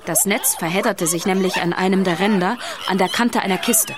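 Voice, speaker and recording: A woman's voice: mid-range voice, brisk, background noise, natural voice. A woman narrator telling a scripted story in a children's radio play. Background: music.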